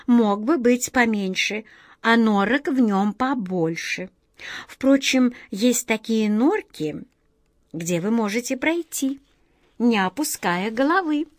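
A woman's voice: medium pitch, no room sound, studio quality, lightly acted. A woman playing a haughty, tiny mouse queen with a majestic, commanding, courtly way of speaking.

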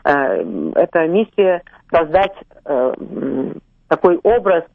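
A woman's voice: lowish voice, lively intonation, gravelly, no echo. Older russian singer lady on the radio, emotional, lots of ums, dry tinny phone sound. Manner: emotional, drawn-out fillers, slips into English words. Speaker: singer guest. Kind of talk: radio interview.

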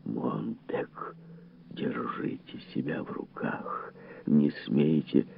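A man voicing an anxious secret mentor who pleads and warns urgently in a breathy whisper, as an audiobook character. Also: a little high, huge pitch swings, slow pace, slight background noise, voice pushed up, exaggerated voice. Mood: urgent warning. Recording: narrow, phone-like lo-fi sound.

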